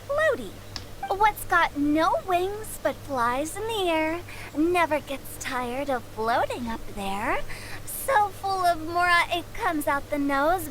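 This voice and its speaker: A cheerful young hostess of a fantasy game quiz, speaks high and very melodically. She is polite and theatrical, with clear noise behind her. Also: heavily acted. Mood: theatrical, curious. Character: cheerful, courteous young female quiz host in fantasy world. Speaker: riddle contest host. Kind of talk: animated video game voice acting.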